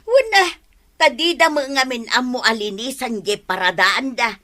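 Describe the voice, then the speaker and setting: An actress playing a bossy, nagging village woman in a radio comedy drama, scolding in drawn-out exclamations with an Ilocano accent. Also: a little high, lively intonation, bright, forceful tone. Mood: defensive, complaining.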